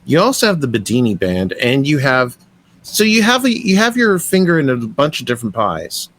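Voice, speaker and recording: A man's voice: medium pitch, wildly swooping pitch, moderate pace, fairly bright tone, no room sound. Chatty man hosting an interview. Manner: chatty, hesitant questions with fillers. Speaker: podcast host. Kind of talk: casual interview questions.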